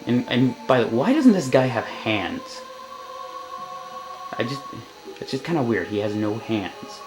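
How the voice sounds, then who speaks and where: A man's voice: medium pitch, very animated, a little slow, rough recording. A man doing casual, joking gameplay commentary, grumbling sarcastically and reading on-screen text aloud. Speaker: let's play commentator. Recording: game music behind the voice. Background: video game music.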